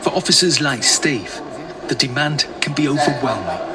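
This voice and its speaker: A man delivers scripted documentary narration in a mid-pitched voice. There is very heavy noise behind his words. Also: animated, moderate pace. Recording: very noisy background under narration.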